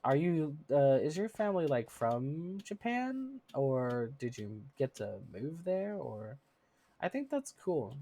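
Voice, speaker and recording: A thirty-something streamer talks loosely and warmly to chat between moves in a game. The speech is full of fillers and easy laughs, at a middling pitch. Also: big pitch swings, slow pace. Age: 30s. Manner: chatty, laughs often, many fillers. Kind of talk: casual live-stream chat with viewers.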